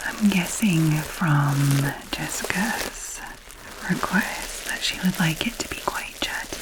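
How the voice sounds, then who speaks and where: A woman with a Scottish accent, whispering slowly and intentionally in a chatty ASMR video, musing aloud close to the mic. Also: fairly low pitch, poor audio. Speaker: ASMR creator.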